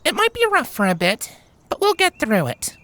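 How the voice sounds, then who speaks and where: A man voicing a young female pony in a storybook reading, gentle and composed, with a reassuring, quietly proud temper. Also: very high-pitched, wildly swooping pitch, normal speed, no echo, voice pushed up, exaggerated voice. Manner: gentle, composed, careful delivery.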